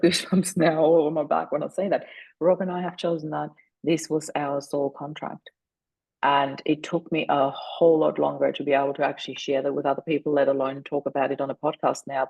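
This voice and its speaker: Woman, gravelly and flat-voiced. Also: sits low, no room sound, poor audio. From Austria. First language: German. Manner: earnest, reflective, emotional retelling. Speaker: podcast guest. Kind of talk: interview storytelling.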